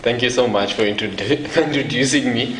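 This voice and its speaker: A young man from South Africa, an evangelist guest, talking casually in an interview, often laughing softly and restarting his sentences. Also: medium pitch, a little fast. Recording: echoey room with faint noise.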